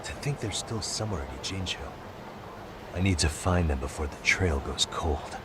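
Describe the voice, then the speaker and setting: An actor playing a determined detective who questions people in a firm, probing way, in a video game cutscene. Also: male voice, sits low, animated, normal speed, noisy background.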